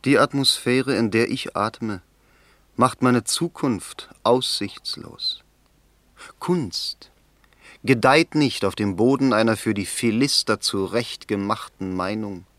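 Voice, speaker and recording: Lovesick melancholy poet, unhurried declamation, dry studio radio drama. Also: male voice, on the low side, fairly bright tone, dry recording. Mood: bitter, resigned. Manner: slow, fervent, declamatory. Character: melancholy, lovesick young poet and newspaper writer. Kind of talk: German radio play acting.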